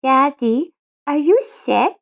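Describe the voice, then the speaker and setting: A woman voicing an excited, insistent little girl in a narrated story, with an eager, emphatic delivery. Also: sits high, slow, vocal fry, voice pushed up, heavily acted. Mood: urgent.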